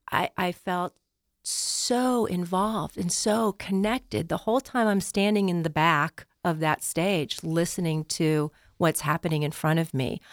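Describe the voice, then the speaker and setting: An older woman speaking reflectively as a guest in a radio interview, with deliberate, emphatic phrasing. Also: lowish voice, vocal fry, dry recording, studio quality. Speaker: interview guest, community theater player. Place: radio studio.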